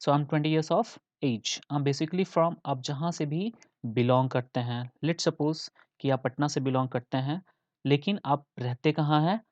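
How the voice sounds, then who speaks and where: A man's voice: on the high side, slow, darkish tone, no room sound, lo-fi sound. A young man with an Indian accent teaching an English lesson in Hindi mixed with English, keeps checking in with listeners.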